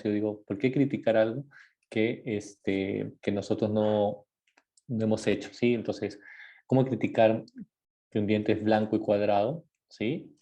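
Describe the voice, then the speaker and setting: Low, mellow-voiced dentist lecturing casually. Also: male voice. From Ecuador. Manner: conversational, many fillers and tag questions. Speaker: dentist giving a talk. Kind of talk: informal lecture.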